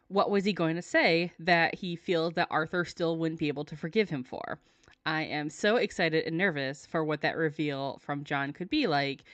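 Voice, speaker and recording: A woman giving prepared commentary as a listener's voice message, in a dull, roomy recording. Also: low voice.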